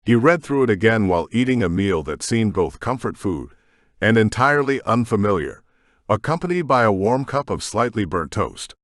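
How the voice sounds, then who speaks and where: Man reading a sci-fi audiobook, lowish voice with a lot of melody, dry close sound. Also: normal speed, dry recording. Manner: steady storytelling narration. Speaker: audiobook narrator. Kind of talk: scripted narration.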